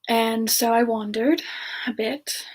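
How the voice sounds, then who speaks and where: Woman acting as gentle frost demon woman, higher-pitched, unhurried, soft-spoken, hesitant, polite, intimate role-play ASMR chat. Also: steady tone, husky, low-quality recording. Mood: hesitant, wistful. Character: gentle, polite amnesiac frost-powered demon woman, reborn from long ago. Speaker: streamer answering viewer questions in character. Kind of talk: semi-ASMR in-character Q&A, soft improvised chat.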